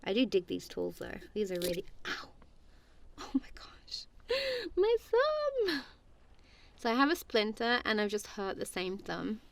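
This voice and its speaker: A woman's voice: mid-range voice, sing-song, measured pace, vocal fry, slight background noise. A woman playing a goofy, affectionate friend in an ASMR roleplay, chatting casually in a playful, giggly, rambling way. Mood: playful, flustered.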